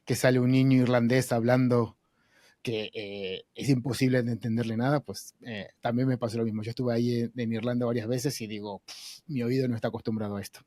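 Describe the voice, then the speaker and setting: A man hosts a podcast in a medium-pitched voice, recorded close and dry. He chats informally, feeling his way through phrases and repeating words as he goes. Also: fairly even intonation, fairly quick, a little mellow, no echo. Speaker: podcast host. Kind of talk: casual chat.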